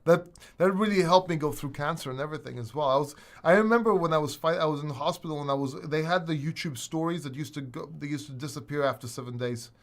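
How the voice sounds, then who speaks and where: A man's voice: a little high, fast, few pauses. Rambling man with a mixed British-American accent. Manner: rambling, swearing, lots of restarts. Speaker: crypto livestream host. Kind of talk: casual livestream chat reading viewer comments.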